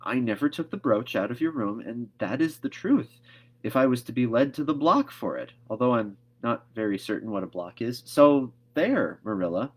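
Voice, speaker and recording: A man's voice: medium pitch, expressive, normal speed, pitched above the performer's own voice, natural voice. A man voicing an earnest, imaginative young girl in a storybook, with a wordy, dramatic manner. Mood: earnest, insistent. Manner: effusive, wordy, hesitant when questioned.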